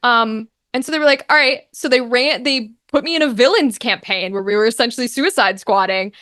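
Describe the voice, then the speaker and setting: A woman speaking as an interview guest in casual, animated chat, telling stories and acting out bits of dialogue. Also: on the high side, a little fast, no echo, studio quality. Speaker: guest, actor and streamer.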